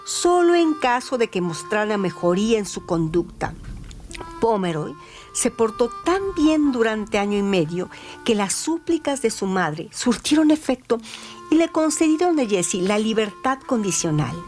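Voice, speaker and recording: A woman reading a scripted crime story as a radio narrator, with deliberate, dramatic phrasing. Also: a little high, sing-song, darkish tone. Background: music.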